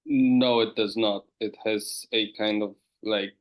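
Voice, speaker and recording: A man's voice: lowish voice, fairly even intonation, slow. A man who is a guest on a podcast, chatting casually over a call and stopping often to search for words. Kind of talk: casual chat.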